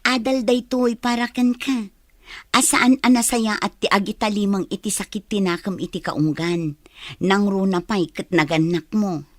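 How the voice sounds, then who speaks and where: A woman playing a kindly, persuasive character in a family radio drama, gently insistent in the way she talks. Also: medium pitch, fairly even intonation, moderate pace, no echo, clean studio sound, natural voice. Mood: urging.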